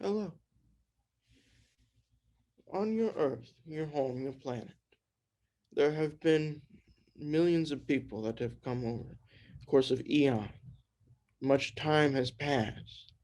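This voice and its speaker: A man voicing an ancient spirit presence through trance channeling, speaking in slow, formal pronouncements. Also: a little high, darkish tone. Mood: solemn.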